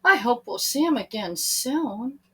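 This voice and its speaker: A woman's voice: a little high, lively intonation, a little slow, slightly rough, pitched above the performer's own voice. A woman voicing an eager, commanding fisherman in a children's Bible story, with an emphatic, urgent delivery. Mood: wistful.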